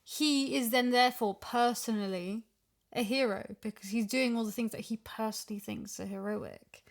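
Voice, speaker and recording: A woman's voice: a little high, fairly even intonation, moderate pace, creaky. A woman in a casual podcast discussion, reasoning through her points conversationally. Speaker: podcast guest, audio drama voice actor.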